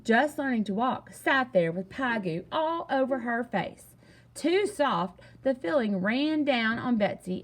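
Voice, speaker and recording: A woman reading a children's story aloud in an animated, expressive way with emphatic delivery. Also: sits high, poor audio. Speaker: storybook reader.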